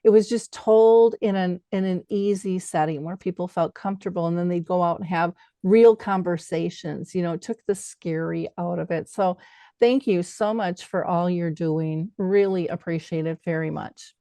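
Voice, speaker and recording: A woman's voice: lowish voice, normal speed, mellow, dry recording. A woman talking conversationally as the host of an interview show.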